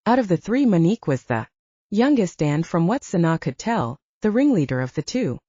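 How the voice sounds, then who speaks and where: A woman's voice: lowish voice, big pitch swings, moderate pace, mellow, slightly rough, no echo, dull audio. A woman reading romance fiction aloud as an audiobook narrator, in a scripted storytelling style.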